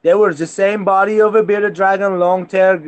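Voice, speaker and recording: A man from Lebanon with a Lebanese accent, chatting casually as a guest and halting as he searches for words. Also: sits high, steady tone, highs cut off. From Beirut, Lebanon. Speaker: guest reptile keeper. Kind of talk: casual reminiscing in conversation.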